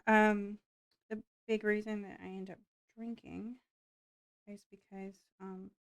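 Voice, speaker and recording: A woman's voice: mid-range voice, flat delivery, slow pace, clear, resonant tone, no echo. A woman vlogger chatting casually while building miniatures, her voice tiring from long talking, meandering with lots of fillers. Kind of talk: rambling casual chat while building models.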